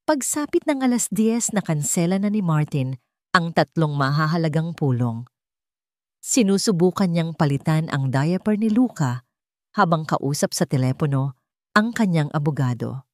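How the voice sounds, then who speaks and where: A woman's voice: low-pitched, lively intonation, normal speed, darkish tone, dry recording, studio quality. A woman with a Filipino accent reading a dramatic scripted story as its narrator.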